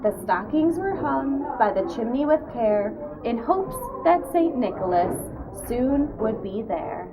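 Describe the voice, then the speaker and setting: A woman reading a holiday poem aloud with a clear storytelling delivery. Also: a little high, animated, takes it slowly, few pauses, crowd noise, rough recording.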